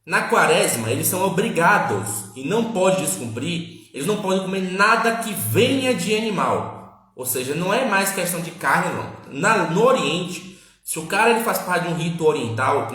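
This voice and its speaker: A fairly high-voiced man hosts a home live stream. He talks in a casual, emphatic teaching way, often checking in with his listeners. His voice rings through a very echoey room. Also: fairly bright tone. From Salvador, Bahia, Brazil. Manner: chatty, repeats tag questions, emphatic. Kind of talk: casual teaching chat with viewers.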